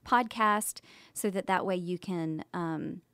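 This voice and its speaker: An American woman with a slightly low voice chats casually as a podcast co-host. She is recorded close on a studio microphone, with very little room sound. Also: takes it slowly, a bit bright, clean tone, no room sound.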